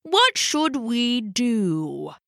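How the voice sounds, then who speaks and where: A bumbling old gentleman penguin from a children's storybook, acted out by a woman. He talks with pompous, earnest alliteration and trips over his own ideas. The delivery is bright and animated. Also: high voice, a little slow, dry recording, clean studio sound, full character voice. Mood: pondering.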